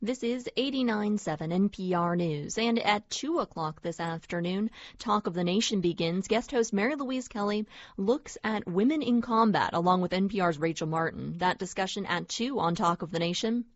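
A woman reading radio station promos and the weather in a smooth, even way. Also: medium pitch, moderate pace, no room sound, highs cut off. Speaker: station announcer. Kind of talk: scripted radio announcements and weather.

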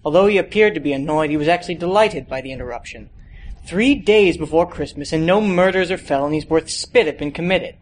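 A man's voice: a little high, fairly quick, rough recording. A young man reading a detective short story aloud as scripted narration. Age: young adult.

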